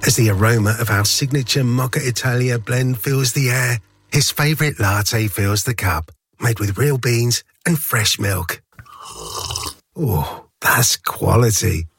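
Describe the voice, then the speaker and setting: A man voicing a radio commercial with a relaxed, savouring delivery. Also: mid-range voice, a little slow, slightly rough, dry recording. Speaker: advert voice-over. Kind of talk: scripted commercial narration.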